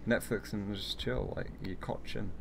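A man's voice: lowish voice, steady tone, normal speed, gravelly. A man co-hosting a gaming charity stream, cracking puns and silly jokes. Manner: jokey, puns and silly sound effects. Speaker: charity livestream co-host. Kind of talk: casual chat while gaming. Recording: loud hiss on the mic.

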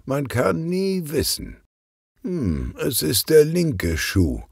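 Man voicing a calm gravelly police detective. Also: fairly high pitch, no echo, clean studio sound, voice pushed up, full character voice. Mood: thoughtful, friendly. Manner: unhurried, reassuring, murmuring. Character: calm, good-natured, portly male police detective.

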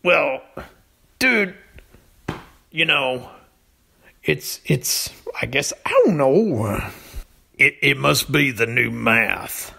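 A man's voice: mid-range voice, expressive, drawn-out words, creaky, pauses often, pushed and bright, slightly rough. A man chatting casually and comically as a podcast storyteller, laid-back and hesitant. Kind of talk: casual comedic chat.

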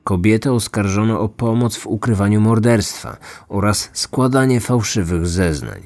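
A man's voice: fairly low pitch, normal speed, a little mellow. A man hosting a true-crime podcast, reading scripted narration. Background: music.

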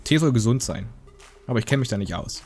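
A man's voice: mid-range voice, lively intonation, fast talker, soft background music. A man giving casual, joking game commentary in a rambling, slangy, mock-indignant way. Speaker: gaming video host.

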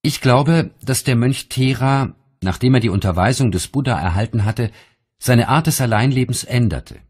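A man narrating an audiobook of spiritual teachings in a measured, deliberate, solemn reading style. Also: medium pitch, breathy edge.

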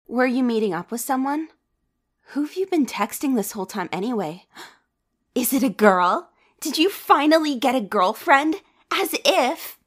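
A woman acts out a high-schooler for a manga dub. The voice sits high with a husky grain. The character is sociable and curious, with anxiety underneath. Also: animated, measured pace. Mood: cheerful, nosy. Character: cheerful, nosy teenage high-schooler, secretly anxious.

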